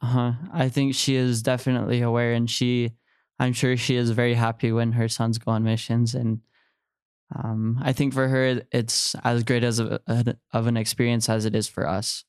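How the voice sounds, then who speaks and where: A man's voice: medium pitch, little pitch movement, normal speed, darkish tone, clear, resonant tone, no echo, clean studio sound. A man in his early twenties telling personal stories as a guest in a podcast interview. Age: early 20s. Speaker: podcast guest, returned missionary.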